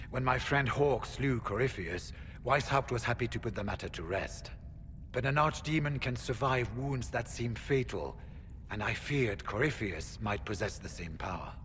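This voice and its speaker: A man voicing a grave, courteous senior warrior in a video game, measured, solemn and formal. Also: mid-range voice, a bit bright. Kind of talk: dramatic video game acting. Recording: long reverb, faint ambient noise.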